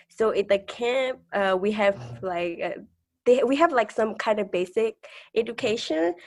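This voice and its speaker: A woman in her twenties, raised in a refugee camp in Thailand, speaking with a Karenni accent as a podcast guest. She talks hesitantly, restarting her sentences and laughing softly between points. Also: mid-range voice. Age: 20s. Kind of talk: conversational interview.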